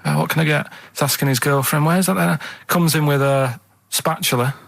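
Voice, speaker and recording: Higher-pitched Mancunian man, dry close sound. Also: brisk, creaky, a bit bright, no echo. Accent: Manchester. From Manchester, England. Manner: hesitant, rambling, plain-spoken. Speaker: radio show regular. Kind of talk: casual chat. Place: radio studio.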